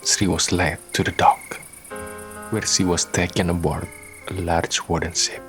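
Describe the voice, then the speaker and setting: A man narrating a scripted radio drama in measured, dramatic short phrases. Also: low voice, flat delivery, music in the background.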